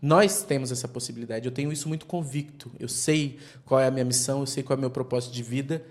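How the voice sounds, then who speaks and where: A man's voice: medium pitch, fast, darkish tone. A man as a podcast guest, speaking earnestly and reflectively in long stretches built around images like nests and wildfires. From Brazilian Northeast. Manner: earnest, speaks in extended metaphors. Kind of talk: reflective interview talk.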